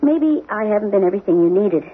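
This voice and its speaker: An actress playing a devoted, anxious wife who questions gently and pleads, in a 1970s radio drama. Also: female voice, medium pitch, animated, fast talker, breathy edge. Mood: worried. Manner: pleading, solicitous. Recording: tinny, phone-like 1970s radio broadcast.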